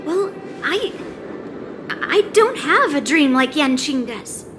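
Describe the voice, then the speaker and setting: A woman's voice: high-pitched, big pitch swings, slow, over music, heavily acted. A woman voicing a blunt, headstrong, self-assured young girl sword fighter in a video game. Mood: resolute. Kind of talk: video game cutscene acting.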